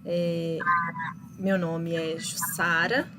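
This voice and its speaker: Slow, explaining woman host. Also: lowish voice, flat delivery, background noise. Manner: slow, explanatory, thinking aloud with fillers. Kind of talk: casual live chat. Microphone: video call.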